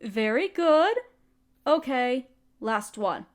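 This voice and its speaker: A woman playing a motherly spider queen in a roleplay audio, speaking tenderly and patiently with gentle teasing and formal wording. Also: high-pitched, lively intonation, extremely slow, exaggerated voice. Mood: patient, encouraging. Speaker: mother figure teaching a language. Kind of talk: roleplay audio, softly acted monologue.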